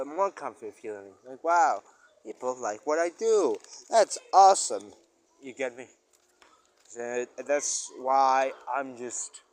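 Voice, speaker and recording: A man's voice: medium pitch, a little hiss or hum. A man talking casually in a rambling personal audio log as a musician, often searching for words and stressing exclamations. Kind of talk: casual rambling spoken-thoughts monologue.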